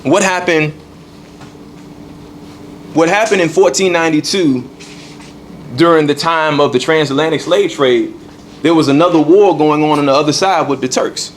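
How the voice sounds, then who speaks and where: A man's voice: a little high, moderate pace, long pauses, a little hiss or hum. A man teaching a congregation in a temple hall, repeating key points and checking in with "all right". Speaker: religious teacher.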